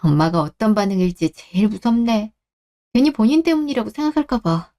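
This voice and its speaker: A woman playing a gentle, apologetic young wife who speaks politely and softly in a radio drama. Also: medium pitch, animated, a little fast, lightly acted. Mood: resigned, understanding. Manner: soft-spoken, conciliatory, polite. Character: gentle, apologetic young married woman, a patient daughter-in-law. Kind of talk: Korean radio drama acting.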